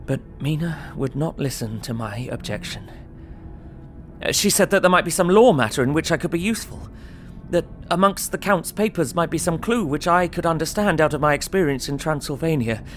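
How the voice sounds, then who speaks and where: A man's voice: fairly high pitch, fairly bright tone, close to an everyday voice. An actor playing an earnest, anxious young solicitor, narrating his diary.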